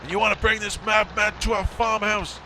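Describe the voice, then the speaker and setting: An actor playing a grumpy, drunk, aggressive brother in an amateur audio drama, sarcastic and confrontational. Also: male voice, sits high, little pitch movement, brisk, noisy background, lightly acted. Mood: sarcastic, angry.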